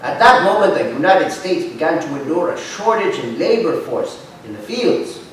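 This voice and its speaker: A man's voice: fairly high pitch, sing-song, moderate pace. A man playing a fond old grandfather, telling stories in a staged reading. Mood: reminiscing. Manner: storytelling, sprinkled with Spanish. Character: fond, animated old Mexican immigrant grandfather. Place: arts center stage, live performance. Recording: echoey hall, distant mic, faint noise.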